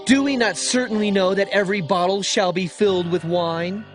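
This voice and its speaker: A man's voice: high-pitched, normal speed, music in the background, natural voice. An actor playing an indignant townsman answering back in a dramatized scripture production.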